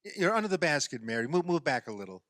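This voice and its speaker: A man's voice: on the high side, big pitch swings, very fast, fairly bright tone, dry recording, clean studio sound. An actor in a radio play playing an exasperated man who coaxes and explains insistently, often stammering. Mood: flustered. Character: exasperated man coaxing a woman through a playground basketball game.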